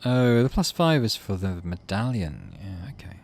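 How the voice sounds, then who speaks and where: A man's voice: on the low side, very animated, normal speed, creaky, darkish tone. A middle-aged man hosting a let's play, reading game text expressively and making casual muttered asides to himself. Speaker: gaming channel host.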